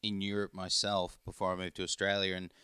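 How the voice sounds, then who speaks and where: A man's voice: sits low, completely flat delivery, brisk, sharp tone, smooth, no echo, studio quality. A man from England and Norway hosting a podcast, asking friendly questions with fillers in casual talk. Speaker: podcast host. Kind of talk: casual interview.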